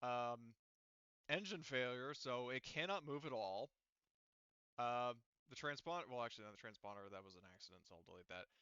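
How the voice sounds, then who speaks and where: A man's voice: medium pitch, lively intonation, fairly quick, pushed and bright, clear, resonant tone, no room sound, lo-fi sound. A man casually narrating and explaining a tabletop game to his players as game master. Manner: informal, explanatory.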